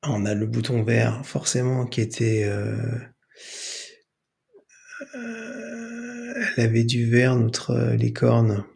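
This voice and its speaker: A man's voice: medium pitch, very animated, slow, creaky, pauses often, a little mellow. A man hosting an improvised screen tutorial, hesitantly narrating each step aloud. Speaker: tutorial host.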